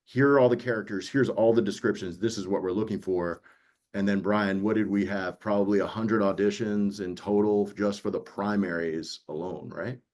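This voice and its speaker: A man's voice: fairly low pitch, fairly even intonation, normal speed, a little mellow. A man speaking as a producer in a conversational panel, explaining earnestly and checking in with listeners. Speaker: audiobook producer. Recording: dull, lo-fi audio.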